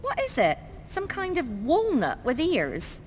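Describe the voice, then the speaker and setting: A woman's voice: high voice, wildly swooping pitch, a little slow, slight background noise, full character voice. An actress playing a feisty young woman in a game cutscene, blunt and teasing. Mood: curious. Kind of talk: video game cutscene acting. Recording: game audio with music behind.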